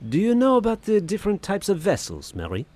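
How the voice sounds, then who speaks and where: A man's voice: high voice, wildly swooping pitch, a little fast, a little mellow, heavily acted. An actor playing a genteel, poetic Frenchman of the 1880s in an audio drama, speaking in a florid, theatrical manner. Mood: wistful delight. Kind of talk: audio drama acting, diary narration and dialogue. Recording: music behind the voice.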